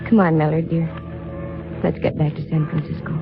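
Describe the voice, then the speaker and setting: An actress playing a poised, warm-hearted female private investigator in a 1950s radio drama, with earnest, polished delivery. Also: sits low, flat delivery, fairly quick, creaky. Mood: earnest, hopeful. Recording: tinny vintage radio recording with music.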